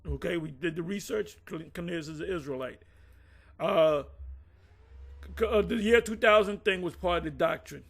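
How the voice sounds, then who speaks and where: A man in a casual conversation, recounting past events bluntly and with rough language, often restarting his sentences. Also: high-pitched, normal speed. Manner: blunt, profane, rambling with many restarts. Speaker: former group member recounting events.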